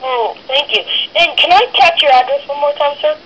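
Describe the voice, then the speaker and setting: A man's voice: extremely high pitch, normal speed, pushed and bright. A man on a phone call who talks politely and formally, asks many questions, and sounds suspicious. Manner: polite and formal, calls the caller sir. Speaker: prank call target. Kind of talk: phone conversation. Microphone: heard through a phone speaker.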